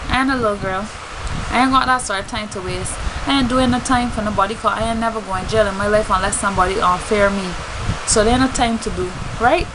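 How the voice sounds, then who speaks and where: An outspoken woman with a Bajan accent chats casually to her followers as a vlogger. Her voice sits at an average pitch and her delivery is emphatic. A clear layer of noise runs behind her. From Barbados. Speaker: singer and spoken word artist. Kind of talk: casual vlog talk to fans.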